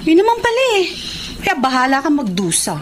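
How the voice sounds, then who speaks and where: A woman's voice: high-pitched, huge pitch swings, a little fast. An actress playing a frank, slightly hurt young woman in a radio drama, with a pointed manner. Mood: reproachful. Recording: faintly noisy radio drama recording.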